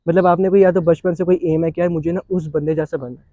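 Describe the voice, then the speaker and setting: A man's voice: fairly high pitch, fairly even intonation, quick pace, warm tone, no echo, muffled recording. A man with a Pakistani accent hosting a conversational interview, mixing English phrases into his speech. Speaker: interview show host.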